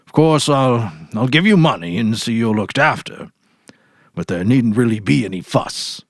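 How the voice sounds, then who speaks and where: A man's voice: medium pitch, animated, moderate pace, dry recording, clean studio sound. A man voicing a weary, brusque senior policeman husband in a dramatic story reading. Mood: grave, awkward. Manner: terse, brusque.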